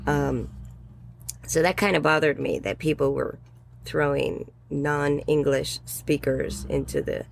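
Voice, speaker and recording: A woman's voice: deep voice, expressive, slow, gravelly, slight background noise. A woman in her sixties, a guest educator talking through her thoughts in an interview with frequent ums and little laughs. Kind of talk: conversational interview.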